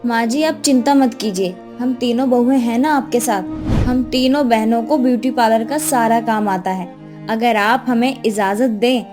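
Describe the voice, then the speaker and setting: A woman plays a dutiful young daughter-in-law in a cartoon family tale. Her voice is high, earnest and resolute, with music playing behind it. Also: moderate pace, natural voice. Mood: reassuring, earnest. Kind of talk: Hindi moral story cartoon dubbing.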